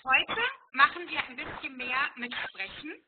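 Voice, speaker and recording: A woman from Germany leads an online German lesson, speaking in a slow, patient teacher's voice that sits a little high. She repeats each phrase clearly for her student. The sound comes through a thin, phone-like video call with steady background noise. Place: airport, indoors. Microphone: laptop on video call.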